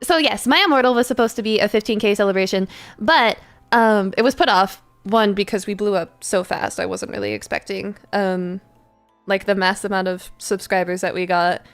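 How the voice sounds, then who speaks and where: A woman's voice: medium pitch, moderate pace, clear, resonant tone, soft background music. A woman hosting a casual livestream, chatting to viewers in a playful, giggly, rambling way. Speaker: livestream host and voice actor.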